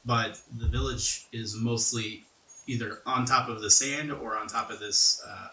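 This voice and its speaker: A man's voice: fairly low pitch, steady tone, a little slow, sharp tone. A man working as game master, narrating a tabletop game in an explanatory, descriptive way. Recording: roomy echo, faint music underneath. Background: faint background music.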